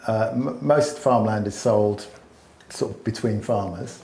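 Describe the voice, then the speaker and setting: A man's voice: on the low side, measured pace, gravelly, darkish tone, roomy sound. A man explaining land buying at length in a casual chat, with many fillers and restarts. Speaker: smallholding expert.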